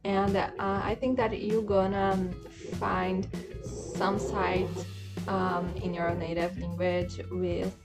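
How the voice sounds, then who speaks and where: Young woman, English, slow and halting, tutorial over background music. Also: mid-range voice, flat delivery. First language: Portuguese. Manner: hesitant, many fillers and restarts, says "okay?" often. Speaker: language learner vlogger. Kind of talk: casual screen-share tutorial.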